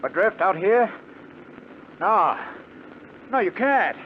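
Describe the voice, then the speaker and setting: Desperate crook in old radio sci-fi, high panicky voice, insistent, dull hissy sound. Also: male voice, very animated, takes it slowly, full character voice. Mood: pleading fear. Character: desperate, pleading male space hijacker. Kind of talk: 1950s radio drama acting. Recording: muffled, hissy 1950s radio recording.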